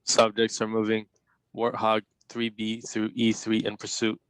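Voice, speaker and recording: A man's voice: lowish voice, completely flat delivery, measured pace, clear, resonant tone, dry recording, close to an everyday voice, poor audio. A man voicing an obedient military droid pilot in a space audio drama, clipped and emotionless. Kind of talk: audio drama table read.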